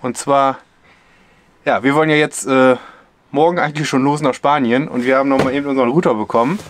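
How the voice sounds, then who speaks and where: A man's voice: medium pitch, steady tone, fast talker, a little hiss or hum. A man in his thirties chatting casually while reviewing gear inside a camper van, explaining with lots of fillers. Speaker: vlogger. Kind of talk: casual product review vlog.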